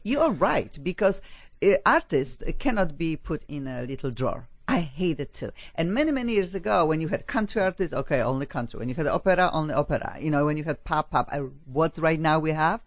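A woman's voice: low voice, expressive, a little fast, no room sound. A woman hosting a talk show interview, gushing and enthusiastic, with many fillers. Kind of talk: effusive interview chat. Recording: dull lo-fi, dry.

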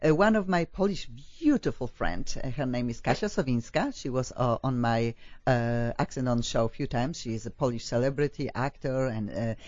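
A woman's voice: a bass voice, moderate pace, darkish tone, no echo. Gushing woman host, dull dry sound. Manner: gushing, enthusiastic, many fillers. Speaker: talk show host. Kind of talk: effusive interview chat.

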